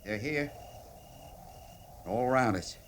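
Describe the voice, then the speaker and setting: A man's voice: mid-range voice, expressive, takes it slowly. A man playing a wry, grumbling cavalry sergeant with a rural American drawl in an old-time radio drama. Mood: uneasy, hushed. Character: folksy, grumbling frontier cavalry sergeant, wry under danger. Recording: old radio recording, faint noise.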